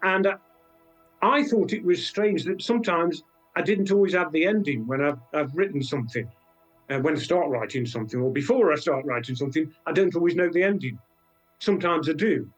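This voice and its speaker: A British man with a slightly high-pitched voice talks as a guest in a relaxed interview. He is reflective and halting, drifting into asides, with faint music in the recording. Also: low-quality recording. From Britain. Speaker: guest author. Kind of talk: conversational interview.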